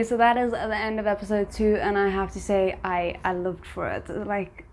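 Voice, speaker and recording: A woman giving casual, spontaneous reaction commentary as a video host, thinking aloud in an animated, exclamatory way. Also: fairly high pitch.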